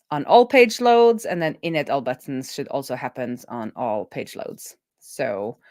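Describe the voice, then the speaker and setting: A woman with a low voice gives a casual coding commentary. She talks through each step as if to herself, in the manner of a developer on a live stream. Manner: thinking aloud, talking through steps to herself.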